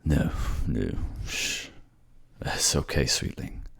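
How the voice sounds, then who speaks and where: A man playing a tired, cursed man in a fantasy audio drama, tender and guilt-ridden, speaking softly and haltingly to a lover. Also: very deep voice, very animated, gravelly, full character voice. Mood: soothing, remorseful. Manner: halting, soft, pleading.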